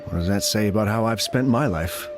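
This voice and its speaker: A man's voice: on the low side, a little fast, natural voice. An actor playing a humble, self-blaming older male captain in a video game, speaking in an earnest, hesitant, polite manner. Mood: rueful, dejected. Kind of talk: video game character dialogue. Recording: music under the voice.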